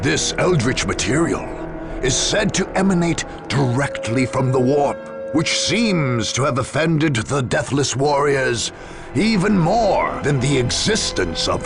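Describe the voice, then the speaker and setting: A man voicing a grave war chronicler, telling a sci-fi saga in a measured, dramatic scripted game narration. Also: medium pitch. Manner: grave, measured, storytelling delivery. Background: music.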